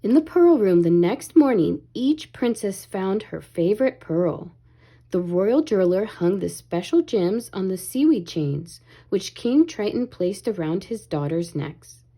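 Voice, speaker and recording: A woman reading a children's story aloud in an expressive, animated way, as if to young listeners. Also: medium pitch, unhurried. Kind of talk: expressive read-aloud narration, pausing to ask children questions.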